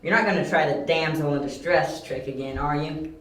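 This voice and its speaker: A man playing a sharp, confident young detective who explains and insists, in an amateur audio drama. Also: on the high side, brisk, natural voice. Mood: warning. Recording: very echoey, roomy amateur recording.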